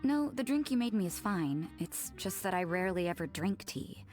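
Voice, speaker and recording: A woman playing an aloof, world-weary young nun in a video game, blunt, dry and deadpan. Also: on the low side, moderate pace, natural voice. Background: music.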